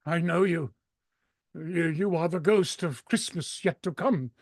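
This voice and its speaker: An actor playing a frightened, repentant old miser, stammering and pleading earnestly in a radio drama. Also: male voice, a little high, measured pace, dry recording, clean studio sound. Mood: fearful, earnest. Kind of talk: vintage radio drama acting.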